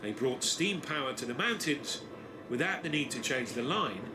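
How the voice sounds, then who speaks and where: A man giving scripted documentary narration in a measured, storytelling delivery. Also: medium pitch, big pitch swings, background noise. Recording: narration over music from a played video.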